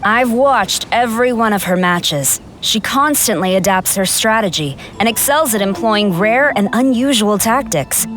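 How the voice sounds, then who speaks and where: A woman's voice: medium pitch, animated, unhurried, few pauses, fairly bright tone, a little hiss or hum. A woman playing a stern, dignified general in a video game, speaking formally and with reserve. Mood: stern, cautioning.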